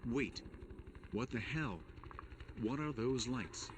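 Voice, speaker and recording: A man's voice: mid-range voice, lively intonation, slow pace, rough recording. A man playing an uneasy field soldier reporting from battle in a radio drama. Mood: alarmed. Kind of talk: fictional military radio drama. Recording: loud battle noise over the voice.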